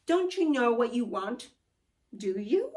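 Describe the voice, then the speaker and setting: A woman voicing a quirky, brisk male diner cook, a food wizard who talks in a loud, bossy, matter-of-fact way. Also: a little high, very animated, distant mic. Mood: teasing.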